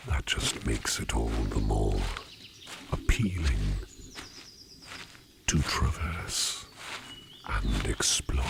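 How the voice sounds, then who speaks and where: Man voicing a very deep gravelly whispering demon. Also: full character voice. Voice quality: breathy, gravelly near-whisper. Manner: slow, halting, formal phrasing. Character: gentle, formal male sleep demon disguised as human. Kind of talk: ASMR roleplay, personal attention. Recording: noisy, outdoor nature sounds behind.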